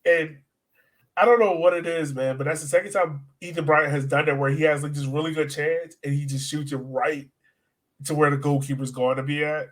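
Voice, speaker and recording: A man with a fairly high-set voice co-hosts a soccer fan podcast. He talks through matches in a casual, conversational way, animatedly acting out reactions as he goes. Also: steady tone, fairly quick. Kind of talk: casual sports chat, match analysis.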